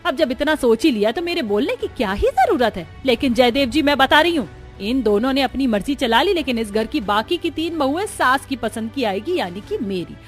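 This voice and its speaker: A woman's voice: sits high, sing-song, moderate pace, soft background music. A woman playing a bossy, disapproving middle-aged mother-in-law in a cartoon family drama, scolding with sarcasm and drama. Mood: indignant.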